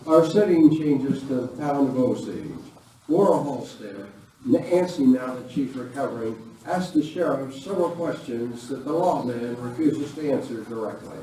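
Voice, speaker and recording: A man's voice: mid-range voice, animated, normal speed, warm tone. A man narrating a live Western radio play in a scripted storytelling style. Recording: very echoey, distant live hall recording, noisy.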